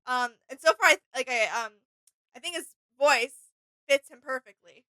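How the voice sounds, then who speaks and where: A woman's voice: sits high, normal speed, bright, forceful tone, no room sound, low-quality recording. A woman co-hosting a reaction video with casual, chatty commentary.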